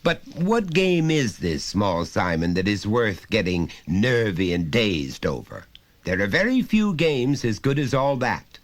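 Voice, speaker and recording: A man's voice: fairly low pitch, very animated, unhurried, voice pushed up. A man voicing an overbearing, falsely jovial middle-aged father in a short story, with a precise, pompous, lecturing manner. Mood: pompous, insistent.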